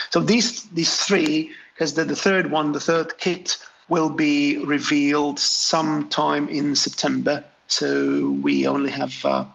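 Gravelly man, halting speech. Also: a little high, a bit bright, muffled recording. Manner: hesitant, many fillers and restarts. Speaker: graphic designer, interview guest. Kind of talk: relaxed interview answers.

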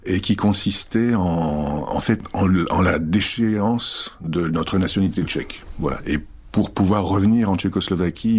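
A middle-aged man whose first language is Czech, answering interview questions reflectively with many pauses and hesitations. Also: sits low, slightly rough. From France. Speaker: guest.

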